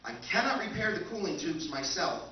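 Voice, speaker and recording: A man's voice: a little high, big pitch swings, a little fast. A man playing an unflappable, doting military machine in a live 1950s-style radio play, speaking formally and precisely with solemn earnestness. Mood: formal, concerned. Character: unflappable, polite, doting military machine tending supposed soldiers. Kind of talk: live recreation of 1950s radio science fiction acting. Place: live stage at a convention, indoors. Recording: echoey, distant, dull noisy convention hall.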